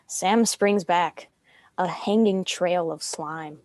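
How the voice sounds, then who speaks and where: A woman's voice: lowish voice, slow pace, darkish tone, no echo. A woman reading stage directions as scripted narration for a dramatic script reading.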